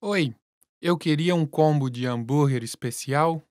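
A man reading scripted lesson dialogue in a plain, relaxed way. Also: on the high side, a little slow, no room sound, studio quality, soft background music. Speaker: language lesson voice actor.

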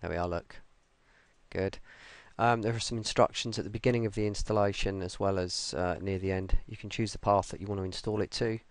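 A man presenting a casual software tutorial, speaking unscripted and hesitantly with pauses mid-sentence. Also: on the low side, fairly even intonation, clean tone, no echo. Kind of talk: casual screen-recorded walkthrough.

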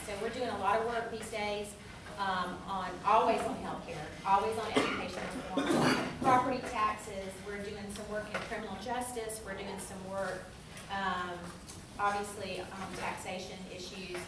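A woman's voice: medium pitch, noisy background. A middle-aged woman with a Southern US accent gives a friendly, chatty welcome talk at a university event, full of fillers. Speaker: think tank representative. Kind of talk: informal welcome speech. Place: university classroom. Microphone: far camera. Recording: echoey classroom from far off.

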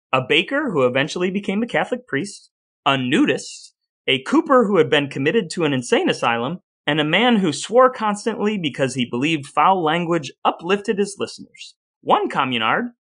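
A man's voice: on the high side, a bit bright. A man co-hosting a podcast in a casual, conversational, wry way, sometimes reading aloud. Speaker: podcast co-host.

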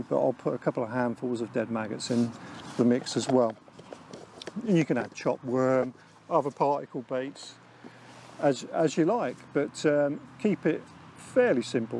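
A middle-aged man, an angling expert, explaining his methods in a measured, instructional way outdoors by a lake on a lapel mic. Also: mid-range voice, vocal fry, mellow, a little hiss or hum. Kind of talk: instructional piece to camera.

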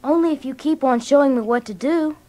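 A woman's voice: high voice, fairly quick, warm tone, close to an everyday voice. A woman playing an eager, earnest, hot-tempered young boy in a children's story drama. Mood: eager, admiring.

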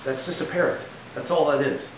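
A man in his thirties speaks as a pastor with a calm, teacherly reassurance. His mid-range voice sounds a little off-mic in a roomy echo, with a faint hiss beneath. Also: on the high side, fairly quick, distant mic. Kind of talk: children's church puppet skit. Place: studio with beach backdrop.

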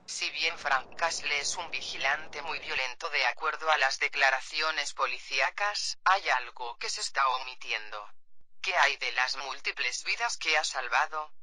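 A man's voice: on the high side, moderate pace, sharp tone. A man playing an opinionated, formal commentator on a scripted radio show. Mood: measured, opinionated.

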